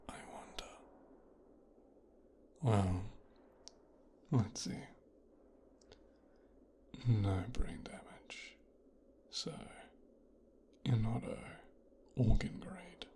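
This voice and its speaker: A man playing a possessive, coldly calculating young mafia boss's son in a hushed, breathy ASMR roleplay, speaking slowly and deliberately. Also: fairly low pitch, lively intonation, exaggerated voice. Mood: scheming, murmuring. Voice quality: hushed, breathy, half-whispered. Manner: slow, deliberate, musing with pauses.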